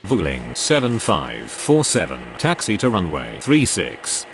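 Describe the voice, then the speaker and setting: A man's voice: low-pitched, wildly swooping pitch, a little slow, a little hiss or hum, full character voice. A man voicing an aviation radio figure in a video game, delivering scripted, clipped procedural calls. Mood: businesslike. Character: adult male airline pilot and air traffic radio voice.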